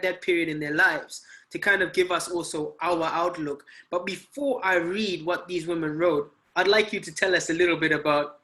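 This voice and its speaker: Upbeat dude hosting a chill podcast, kinda high bright voice. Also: male voice. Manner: upbeat and relaxed, leads the conversation with easy questions. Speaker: podcast host. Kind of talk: casual podcast chat.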